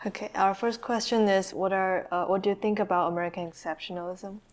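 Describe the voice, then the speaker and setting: A woman's voice: medium pitch, fairly even intonation, quick pace. A woman moderating a student discussion, asking brief questions. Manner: brief, matter-of-fact questions.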